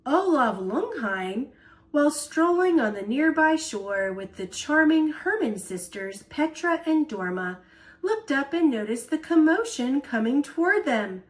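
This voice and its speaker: Woman, children's storyteller, expressive read-aloud, lively range, unhurried pace, playful emphasis. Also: sits high, poor audio.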